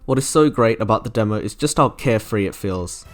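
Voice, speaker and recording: A man's voice: lowish voice, lively intonation, brisk. A man delivering scripted, enthusiastic review narration in an articulate, wordy way, like a video essayist. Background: music playing under the narration.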